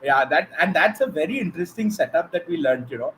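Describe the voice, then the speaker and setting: Man, Indian accent, show host, moderating questions, fairly high pitch, faint noise. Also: lively intonation, fast, fairly bright tone, rough recording.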